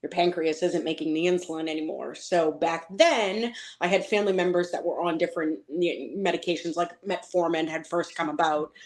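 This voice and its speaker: A woman in her forties from Boston with a faint, mostly hidden Boston accent, telling her story as an interview guest, animated and full of fillers. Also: lowish voice, brisk, hardly any pauses. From Boston, Massachusetts.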